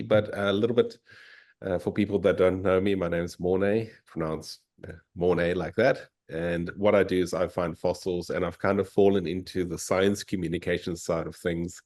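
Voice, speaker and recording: A South African man with a very low, deep voice gives a relaxed, keen presentation as a guest speaker. He comes through a video call. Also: moderate pace. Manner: easygoing and enthusiastic. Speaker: fossil hunter and guest speaker. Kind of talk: informal slide presentation.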